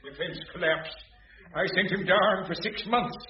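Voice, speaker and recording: A man's voice: high-pitched, unhurried, far from the mic, noisy background. A man playing a pompous, reactionary older judge in a radio sitcom, blustering indignantly and stammering as he dotes on his wife. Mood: pompous indignation. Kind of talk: 1980s radio sitcom acting. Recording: dull echoey radio recording with studio audience.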